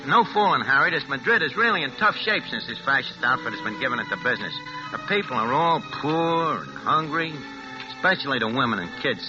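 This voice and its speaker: An actor in a 1940s-style radio drama playing a brash, streetwise New swindler, slangy and full of stammering bluster. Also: male voice, mid-range voice, close to an everyday voice. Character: brash, streetwise American swindler narrating his own scheme. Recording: dull vintage radio recording with music.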